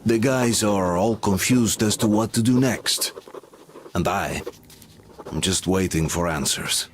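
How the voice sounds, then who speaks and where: A weary soldier played by a man narrates a video game story in a measured, wry way. The voice sits a little low, with faint noise behind it. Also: close to an everyday voice. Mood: pensive, wry. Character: weary reflective soldier narrating his journey, quietly doubtful. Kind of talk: scripted first-person game narration.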